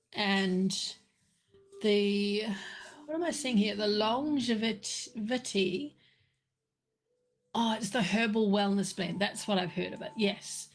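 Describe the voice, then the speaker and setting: Slow, croaky woman chatting about tea. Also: medium pitch. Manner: slow, meandering, many fillers and restarts. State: slightly croaky. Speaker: livestream host answering viewers. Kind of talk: casual live chat about tea.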